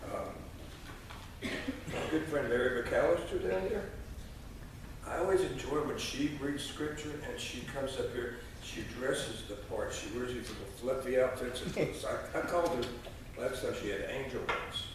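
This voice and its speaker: A man in his sixties speaking at a church lectern as a lay reader, in a folksy, halting way with frequent pauses. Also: medium pitch. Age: 60s. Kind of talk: informal church address and scripture reading. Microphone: distant camera microphone. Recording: echoey church, distant mic, noisy.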